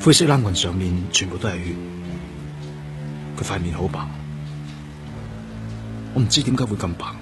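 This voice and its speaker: A man's voice: low voice, lively intonation, moderate pace, long pauses, over music. A man acting in a Cantonese radio drama with a Hong Kong accent, playing a grief-stricken police detective who also narrates. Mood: numb, sorrowful. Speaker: actor.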